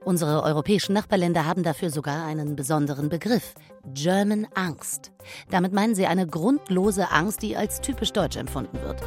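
A woman playing a polite, earnest radio presenter with a measured, newsreader-like delivery in an audio drama. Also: lowish voice, darkish tone, soft background music, natural voice. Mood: earnest, formal. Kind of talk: radio talk show parody in drama.

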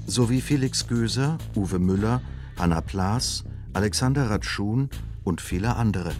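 A man's voice: lowish voice, measured pace, darkish tone. A man announcing titles and cast credits for a radio drama in a scripted read. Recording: guitar music behind the voice. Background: guitar music.

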